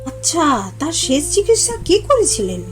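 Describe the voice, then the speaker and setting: A woman's voice: high-pitched, big pitch swings, a little slow, faint music behind. A woman playing a serious, suspicious and protective elder sister in an audio drama, earnest and questioning. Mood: probing. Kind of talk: Bengali audio story acting.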